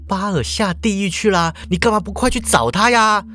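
A woman's voice: medium pitch, fairly bright tone, voice pushed up, close to an everyday voice. A man voicing a feisty, sulky young woman who whines and argues while caught in danger. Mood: exasperated. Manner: whiny, pouting, quick to argue.

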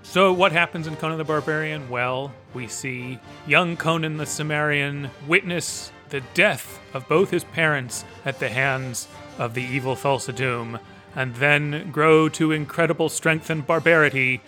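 Bright-voiced wry male podcast host. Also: mid-range voice. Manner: thoughtful, wry, chuckles between phrases. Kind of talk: casual conversational film-score podcast chat.

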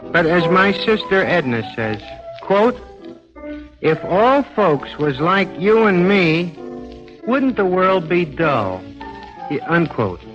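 An actor playing a naive, folksy American sidekick with homespun talk in a 1940s radio drama. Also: male voice, high-pitched, sing-song, fairly bright tone, heavily acted. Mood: musing. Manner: drawn-out, homespun. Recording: muffled 1940s radio recording with music.

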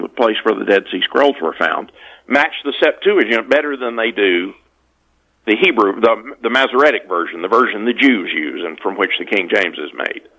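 A man's voice: on the low side, sharp tone, poor audio. A man speaking as a guest author in an interview, explaining conversationally and often stopping to correct himself. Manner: halting, with repeated self-corrections.

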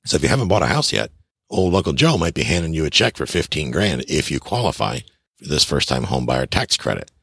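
A man's voice: a bass voice, very animated, a little fast, gravelly, fairly bright tone, husky, dry recording, studio quality. A man in his fifties hosting a podcast, giving blunt, chatty advice on real estate and taxes straight to his listeners. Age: 50s. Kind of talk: casual advice monologue.

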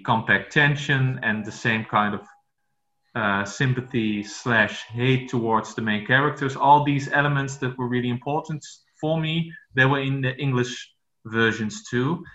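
A man from the Netherlands whose first language is Dutch, speaking English as a podcast guest and talking haltingly, with many fillers and restarts. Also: medium pitch, sharp tone, reverberant, muffled recording. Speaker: author guest. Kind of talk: podcast interview.

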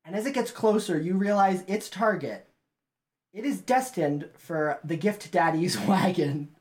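A woman narrating a tabletop game as game master, animated and often laughing. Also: on the low side, measured pace.

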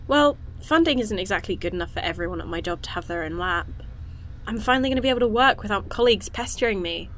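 A woman's voice: medium pitch, expressive, fast, a bit bright, slight background noise, lightly acted. A woman playing an anxious, earnest young woman scientist in an audio drama, flustered and hesitant as she rambles. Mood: delighted, grateful.

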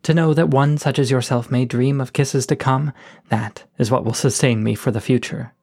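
Shy coyote suitor played by a man, mid-range voice, soft-spoken and self-effacing. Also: mid-range voice, steady tone, moderate pace, vocal fry. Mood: tender. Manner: gentle, eloquent, self-effacing. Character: shy, poetic adult male coyote suitor. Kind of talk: scripted reading of letters.

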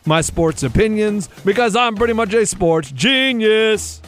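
A man co-hosting a sports podcast, excitable and repetitive, telling casual comic stories and acting out the dialogue. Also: sits high, a little slow, soft background music. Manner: excitable, repeats himself, acts out dialogue. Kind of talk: casual comic banter and storytelling.

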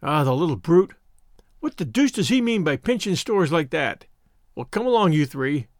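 Strict storybook scoutmaster, male reader acting, brusque and exasperated, very animated pitch, dry recording. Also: normal speed, no echo.

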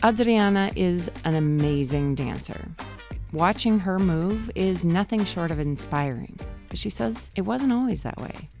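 A woman in her forties, hosting a podcast and narrating a personal story in a calm, reflective way. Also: lowish voice, measured pace, creaky, soft background music. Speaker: podcast host.

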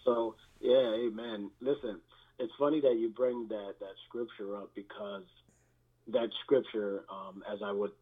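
A man speaking as a guest pastor, giving a candid personal testimony in a halting, reflective manner with repeated words, through thin band-limited audio. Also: on the low side, fairly even intonation, narrow, tinny audio.

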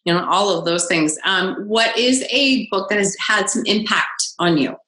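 A woman's voice: mid-range voice, animated, normal speed, pushed and bright. A woman hosting a podcast interview, asking questions and praising answers enthusiastically. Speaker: podcast host. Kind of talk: casual interview. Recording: echoey room.

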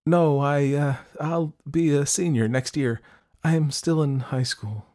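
A man's voice: mid-range voice, steady tone, a little slow, voice pushed up. A man voicing a smitten, shy teenage girl who stammers hesitantly in an audiobook story. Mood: shy, eager. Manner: stammering, hesitant, breathy hushed asides.

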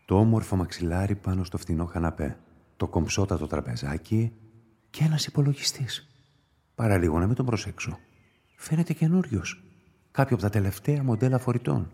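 An actor playing an observant police inspector musing to himself in a radio play. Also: male voice, on the low side, very animated, mellow, breathy edge, full character voice. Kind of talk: radio play inner monologue.

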